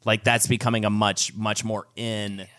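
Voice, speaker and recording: A man's voice: on the low side, level tone, moderate pace, fairly bright tone, no room sound, studio quality. A man co-hosting a podcast in casual comedic chat, joking and exclamatory. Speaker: podcast co-host.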